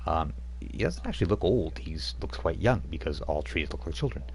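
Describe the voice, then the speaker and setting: A man's voice: low-pitched, big pitch swings, brisk, creaky, darkish tone, slight background noise, rough recording. A man running a tabletop roleplaying game as game master, telling the scene in a rambling, chuckling way with many fillers.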